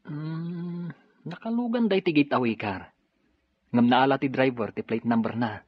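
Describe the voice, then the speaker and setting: A man's voice: mid-range voice, normal speed, husky, no room sound, dull audio, close to an everyday voice. A man with an Ilocano Filipino accent acting a dutiful, serious policeman in an audio drama. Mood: serious, urgent.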